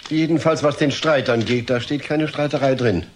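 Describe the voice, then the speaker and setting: Man as mild sitcom dad, hesitant, deliberate, medium pitch, noisy old recording. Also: lightly acted. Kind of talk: dubbed sitcom acting.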